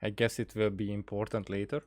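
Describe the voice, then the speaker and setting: Low-voiced man, halting game commentary. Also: flat delivery. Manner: hesitant, halting, repeats words. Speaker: gaming streamer, let's player. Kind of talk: casual gameplay commentary.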